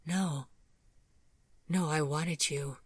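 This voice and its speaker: A woman reading as a devoted young heroine, hushed, tender, low voice, slow. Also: steady tone, breathy edge, no room sound, studio quality, full character voice.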